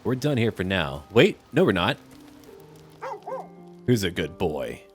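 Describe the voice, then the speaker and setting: Deep-voiced man casually playing a game. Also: big pitch swings, measured pace, soft background music. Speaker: gamer playing through a role-playing game. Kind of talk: casual game commentary, speaking dialogue choices aloud.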